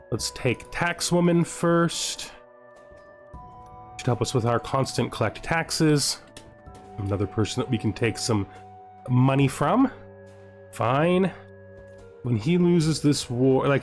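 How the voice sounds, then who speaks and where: Male gamer, average pitch, slightly slow delivery, casual think-aloud playthrough commentary with faint music underneath. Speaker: gamer narrating his own playthrough.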